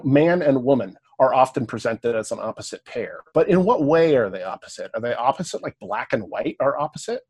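A man's voice: medium pitch, slightly rough. A man with a Canadian accent, speaking as a podcast guest in a casual, lecture-like discussion, thinking aloud, often checking in with "right?" and now and then swearing.

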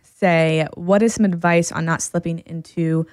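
Earnest lower-voiced woman in her thirties. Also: fairly even intonation, darkish tone, no echo, clean studio sound. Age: 30s. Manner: earnest, encouraging, quick bursts of explanation. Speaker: podcast host. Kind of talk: casual podcast conversation.

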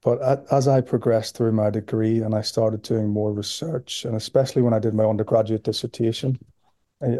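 A man in his forties with a Northern Irish accent, a professor being interviewed on a podcast, talking in a relaxed, steady way with frequent pauses and repeated words. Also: medium pitch, no room sound. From Belfast, Northern Ireland. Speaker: guest, sports nutrition professor.

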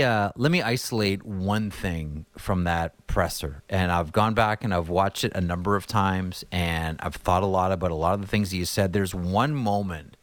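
A man's voice: low voice, no room sound. A man in his fifties hosting an interview, telling stories with enthusiasm. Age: 50s. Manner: enthusiastic storyteller. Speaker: podcast host. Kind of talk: casual interview talk.

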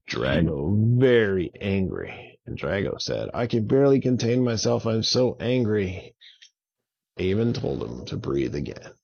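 A man tells a children's bedtime story at an unhurried pace, with a gravelly edge to his voice. His phrasing is simple and repetitive for young listeners, and the recording sounds slightly dull. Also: sits low. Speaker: children's story podcast host and narrator.

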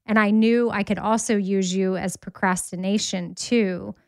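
A woman's voice: mid-range voice, steady tone, unhurried, no room sound, studio quality. A woman in her forties co-hosting a podcast, chatting casually with a friend, reflective and laughing often. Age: 40s. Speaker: podcast co-host.

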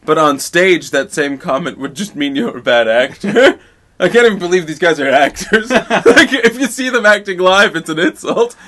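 A young man with a bright, forceful voice that sits a little higher than most men's. He laughs through his lines, riffing on improvised comedy bits with a friend. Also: lively intonation, normal speed. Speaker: podcast co-host.

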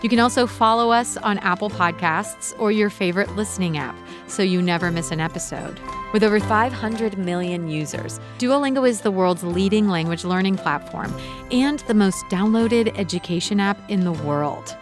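A woman hosting a podcast, reading a scripted story in a measured, clear storytelling voice. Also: mid-range voice, over music. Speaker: podcast host and narrator. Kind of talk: scripted narration.

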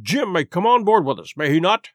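A man doing a calm, level-headed doctor. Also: sits high, brisk, no echo, clean studio sound. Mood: measured. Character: calm, measured male country doctor.

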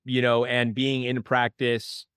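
A man's voice: medium pitch, monotone, a little slow, fairly bright tone, smooth, no room sound. A man hosting a chiropractic business podcast from a studio, talking with enthusiasm and plenty of casual fillers. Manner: enthusiastic, conversational with many fillers. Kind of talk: interview podcast.

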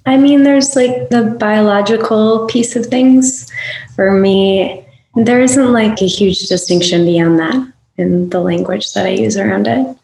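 A woman's voice: medium pitch, a little slow, creaky, mellow, roomy sound, slight background noise, rough recording. A woman from Michigan, a guest on a podcast interview, speaking in a thoughtful way and searching for words. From Michigan, USA.

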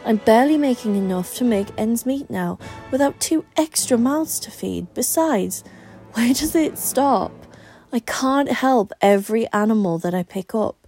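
A woman's voice: on the high side, lively intonation, unhurried, dark tone, faint music behind, pitched above the performer's own voice. A woman voicing an anxious, defensive, self-pitying young man in an audiobook story, with emphatic delivery. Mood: defensive, upset.

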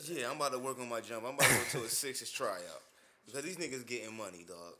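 A young man co-hosting a casual chat, slangy and full of hype shout-outs. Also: mid-range voice, fast talker, very noisy. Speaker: podcast co-host.

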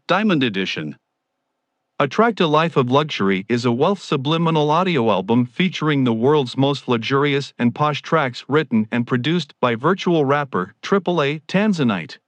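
A man's voice: medium pitch, dry recording. A man delivering a scripted promotional narration in a grandiose, formal salesman's manner. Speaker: promoter of his own audio programs.

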